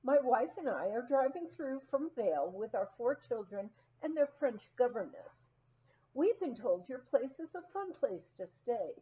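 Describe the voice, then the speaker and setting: A woman narrates a light memoir with lively, swooping intonation and a fairly high pitch. She takes her time like a storyteller reading an audiobook. The recording is slightly dull. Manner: expressive, unhurried storytelling with acted quotes. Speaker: audiobook reader. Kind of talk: scripted narration of a humorous memoir.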